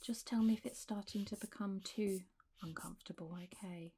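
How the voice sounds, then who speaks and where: A woman role-playing a doctor for ASMR, speaking softly and often in whispers, with a gentle, reassuring, unhurried bedside manner. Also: mid-range voice, steady tone, slight background noise. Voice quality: soft, breathy, often whispered. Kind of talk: whispered and soft-spoken ASMR medical roleplay.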